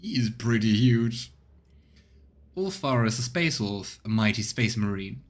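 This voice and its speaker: Low-voiced male game streamer. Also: lively intonation, a little slow. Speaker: let's play streamer. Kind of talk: game commentary mixed with reading narration aloud. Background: game music.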